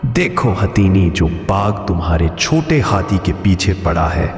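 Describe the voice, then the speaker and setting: A man's voice: deep voice, sing-song, measured pace, faint music behind. A man voicing a stern, proud, aging lion king in an animal cartoon, speaking emphatically in an admonishing, commanding way. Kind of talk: animated moral story dialogue. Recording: echoey, long reverb.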